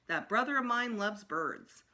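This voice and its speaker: A woman's voice: medium pitch, lively intonation, measured pace, bright, forceful tone. A woman reading a children's book aloud as a storytime narrator, expressively. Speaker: storytime reader.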